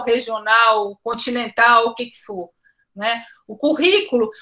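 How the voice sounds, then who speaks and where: High, bright-voiced woman professor from Pará. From Pará, Brazil. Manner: reflective, explanatory, repeats words while searching. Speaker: education professor, guest. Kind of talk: academic interview answers. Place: home room indoors. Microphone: laptop webcam on video call.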